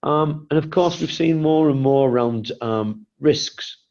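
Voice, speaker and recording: A man's voice: on the high side, a little slow, a little mellow, dull audio. A man with a congested voice from a cold, giving a webinar presentation as guest speaker and explaining with rhetorical questions.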